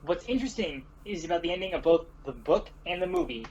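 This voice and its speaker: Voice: medium pitch, sing-song, fast talker, vocal fry, over a noisy crowd. A co-host chatting casually in an offhand review discussion. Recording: dull, slightly noisy home recording.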